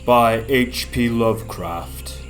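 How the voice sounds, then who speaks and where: A man narrating a gothic tale as a dramatic reading, with solemn, measured literary delivery. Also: medium pitch. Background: music.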